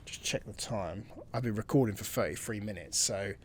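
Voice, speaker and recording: A man's voice: on the low side, moderate pace, slight background noise. A man giving casual let's-play commentary, musing and thinking aloud, sometimes dropping to a near-whisper. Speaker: gameplay commentator.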